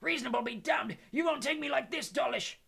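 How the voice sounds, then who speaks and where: Narrator doing a giant angry bloke from a storybook, bellowing, pushed up loud and high. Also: brisk, vocal fry, husky, heavily acted. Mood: defiant fury. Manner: roaring, bellowing. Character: huge, fiery-tempered man, roaring defiantly in battle.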